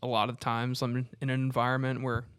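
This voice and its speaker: A man chatting casually as a podcast co-host, telling stories in an informal, halting way and acting out the dialogue. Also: medium pitch, completely flat delivery, fairly bright tone, clear, resonant tone, dry recording, clean studio sound. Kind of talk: casual conversational storytelling.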